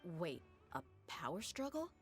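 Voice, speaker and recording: A woman's voice: on the low side, big pitch swings, unhurried. An actress playing a tough, self-assured young woman mercenary in a video game, frank and nonchalant. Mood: grave. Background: background music.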